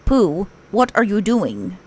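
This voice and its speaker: A woman's voice: medium pitch, very animated, slow pace, breathy edge, heavily acted. A woman voicing a practical, slightly bossy but friendly male rabbit in a children's story, with emphatic, instructive delivery. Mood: disapproving.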